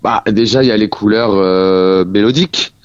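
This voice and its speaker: A lower-voiced man in his forties, a musician talking as a radio guest. He speaks in a halting, thoughtful way and often stops to search for words. His voice comes over a dry, slightly muffled remote line. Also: smooth, no room sound. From France. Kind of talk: casual radio interview.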